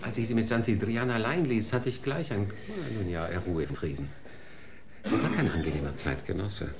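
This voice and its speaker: An actor playing a gossipy broadcasting official in a radio drama, chatty and confiding. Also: male voice, fairly low pitch, normal speed, background noise, lightly acted.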